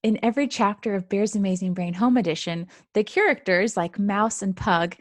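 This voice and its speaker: A woman reads and explains a children's book to young listeners. Her voice sits at an average pitch, and she lays out each point in simple, clear terms. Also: normal speed, no echo. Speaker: presenter, author reading own book. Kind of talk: children's read-aloud with explanations.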